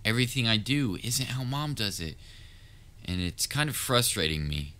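A man's voice: medium pitch, big pitch swings, normal speed, sharp tone. A man playing a patient, sometimes exasperated but caring young babysitter in a roleplay audio drama, talking in a casual, coaxing way. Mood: worried, earnest. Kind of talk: roleplay audio drama, speaking directly to listener.

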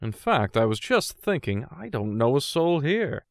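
A wealthy husband in a literary audio drama. He is aloof and arrogant, with clipped delivery at a medium pitch. The recording is very dry. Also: sing-song, unhurried, vocal fry, no echo.

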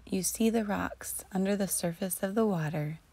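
A woman narrating a guided imagery relaxation in a soothing, deliberate, breathy voice that often sinks close to a whisper. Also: mid-range voice, mellow. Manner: soothing, slow and deliberate with long pauses. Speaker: guided meditation host.

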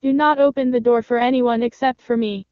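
A woman voicing a caring, cautious mother goat in a storybook, with a soft, gentle voice. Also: fairly high pitch, fairly even intonation, brisk, no room sound, narrow, tinny audio, voice pushed up, lightly acted. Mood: caring, warning.

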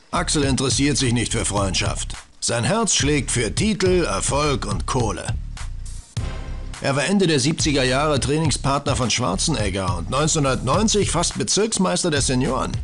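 An actor playing an eager, boastful bodybuilder in a computer adventure game, speaking with enthusiastic admiration. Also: male voice, medium pitch, very animated, measured pace, a bit bright. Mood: proud, encouraging. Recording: game audio with faint music behind.

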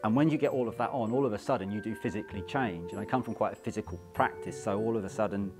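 Low-voiced man talking over music. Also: fast talker. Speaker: stage actor. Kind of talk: casual interview chat. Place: indoor theatre auditorium.

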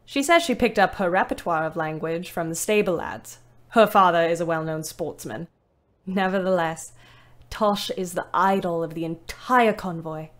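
A woman playing a weary young British ambulance driver in her twenties, narrating her war diary in a literary, wry, measured way. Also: on the low side, close to an everyday voice. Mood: fond, wry. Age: 20s. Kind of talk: scripted audio drama narration.

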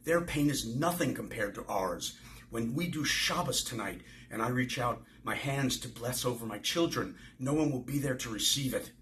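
A man's voice: mid-range voice, normal speed, a bit bright, a little hiss or hum, lightly acted. A man in his sixties reading the part of a bitter, grieving butcher from a novel. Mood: bitter, anguished.

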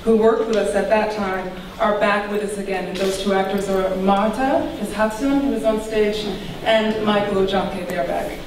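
A woman speaks formally as a writer addressing an audience. Her delivery is measured, with a medium pitch. She sounds distant in a very reverberant hall. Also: fairly even intonation. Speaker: playwright introducing a reading. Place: large indoor hall with an audience. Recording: very echoey hall, distant, noisy.

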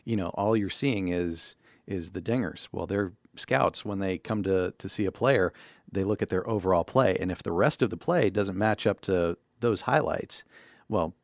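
Lowish-voiced man hosting a podcast. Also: normal speed, no room sound, clean studio sound. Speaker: podcast co-host. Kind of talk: conversational podcast talk. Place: small indoor podcast studio. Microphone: close boom-arm studio microphone.

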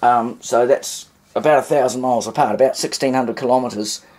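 A man's voice: medium pitch, fairly even intonation, fairly quick, heavy background noise. A man giving a casual, unscripted demonstration in a hesitant, rambling way with wry asides. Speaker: vintage radio hobbyist demonstrating.

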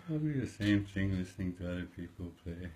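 A man in his fifties speaks in a low, deep voice, soft and unhurried, answering in short phrases that often trail into "eh". It sounds like a phone recording in a small room at home, with a faint steady hiss underneath. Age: 50s. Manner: soft-spoken, short replies, tags "eh". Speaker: musician interviewee. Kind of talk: casual interview chat. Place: small indoor room at home, playing guitar.

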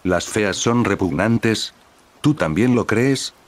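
A man's voice: lowish voice, expressive, slow. A man reading the lines of a jealous, sulky young witch in a plain storybook voice.

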